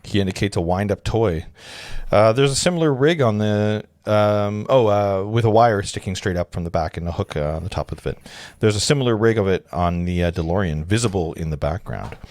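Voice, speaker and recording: Low-voiced man casually reading stage directions. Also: animated, fairly quick, a little mellow, dry recording, studio quality. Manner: informal, stumbles and breaks off to comment. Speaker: narrator of stage directions, host. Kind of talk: casual table read of a screenplay.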